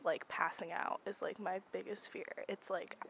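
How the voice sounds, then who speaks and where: 22 yo girl, lots of ums, bright voice with vocal fry. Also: mid-range voice, fairly even intonation, moderate pace, poor audio. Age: 20s. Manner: hesitant, filler-heavy. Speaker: student interviewee.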